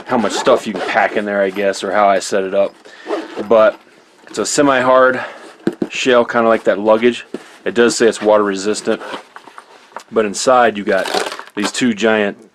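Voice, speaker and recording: A man with a fairly low voice talks through a product hands-on. His delivery is informal and conversational, like an easygoing reviewer chatting to viewers. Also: background noise.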